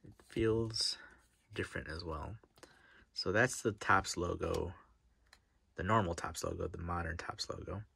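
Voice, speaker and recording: A middle-aged man with a stuffy, blocked-up nose and a very low, gravelly voice. He talks in a relaxed, chatty way, reading out names and slipping into a near-whisper now and then. His tone is husky rather than clear. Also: measured pace, long pauses. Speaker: card-opening vlogger. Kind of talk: casual commentary while opening card packs. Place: desk in front of a wall of card boxes.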